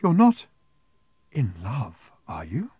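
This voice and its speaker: A man voicing a prim, genteel middle-aged woman selling raffle tickets in a storybook, speaking slowly with a cloying, sighing sweetness. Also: mid-range voice, huge pitch swings, husky, no echo, phone-quality sound, pitched above the performer's own voice, heavily acted. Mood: confidential, coy.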